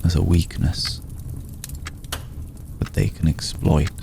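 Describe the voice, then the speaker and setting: Man acting as a very deep, slow ancient vampire. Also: fairly even intonation, noisy background, full character voice. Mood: grave, brooding. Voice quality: deep, gravelly, soft. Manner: formal, measured, deliberate, with long pauses. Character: ancient aristocratic male vampire, courteous, brooding, protective. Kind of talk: ASMR roleplay, slow intimate acting.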